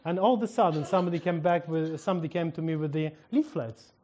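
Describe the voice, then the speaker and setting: Ukrainian guy preaching, slightly high voice, tells his story with lots of little laughs and acted-out bits. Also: quick pace, a little hiss or hum. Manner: lively storyteller, acts out dialogue, laughs often. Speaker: minister. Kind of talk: sermon testimony storytelling.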